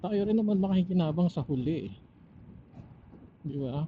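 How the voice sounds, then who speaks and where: A man with a Filipino accent chatting casually on his own in a reflective way, pausing mid-thought, through a motorcycle helmet intercom microphone. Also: fairly high pitch, lively intonation. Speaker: motovlogger and angler. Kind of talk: casual, rambling solo vlog chat. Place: outdoors, riding a motorcycle. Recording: dull helmet intercom audio, faint noise.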